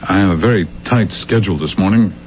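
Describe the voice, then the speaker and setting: A businesslike lawyer with a lowish voice, played by a male actor, skeptical and impatient yet reasonable. It is radio drama on a dull recording with faint noise. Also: quick pace, lightly acted. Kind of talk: 1970s radio drama acting.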